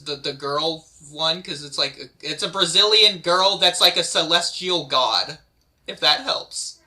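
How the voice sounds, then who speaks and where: Dude chatting at a table read, slangy, casual, higher pitched and super bright. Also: male voice, a little slow. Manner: slangy, informal. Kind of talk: casual chat during a script table read.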